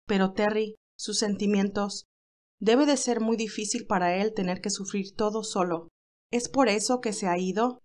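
A woman's voice: mid-range voice, steady tone, normal speed, a little mellow, close to an everyday voice. A woman voicing an earnest, tender young nurse in an audio story, emotional and caring. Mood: anguished.

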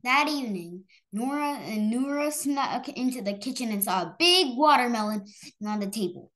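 A woman reading a children's story aloud, haltingly and stumbling over harder words. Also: on the high side, expressive, fairly bright tone.

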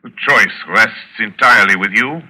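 An actor playing a cultured, sinister general who hunts men, gloating with mock courtesy in theatrical old radio style. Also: male voice, lowish voice, normal speed, bright, forceful tone, low-quality recording. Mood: relishing, taunting. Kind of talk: 1940s radio acting. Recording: old radio drama recording.

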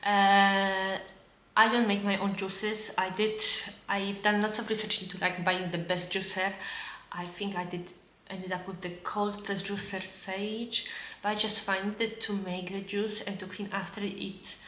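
A woman's voice: mid-range voice, little pitch movement, unhurried, a bit bright, roomy sound, slight background noise. A woman in her thirties from Poland with a Polish accent, chatting casually to camera at home with many fillers and restarts. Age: 30s. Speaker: vlogger.

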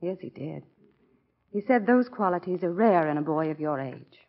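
An American actress playing a coach's kind, admiring wife in a 1940s radio drama, gentle and earnest. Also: female voice, fairly low pitch, animated, normal speed. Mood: tender, admiring. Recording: dull lo-fi 1940 radio recording.

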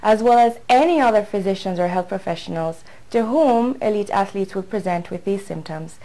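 A woman in her thirties, a physician, explaining a medical condition to camera in a clear, measured way, seated indoors. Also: medium pitch, mellow, low-quality recording. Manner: clear, measured, careful with technical terms. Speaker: physician presenting her research. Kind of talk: prepared medical talk to camera. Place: indoors, seated in a bright hospital lounge by windows.

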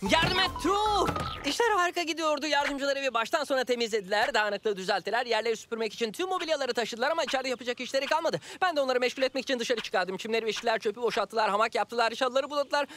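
A woman voicing an excitable, fussy young cat in a cartoon dub, exclaiming and repeating words. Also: sits high, a little hiss or hum. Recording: loud music behind the voice. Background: loud cartoon music.

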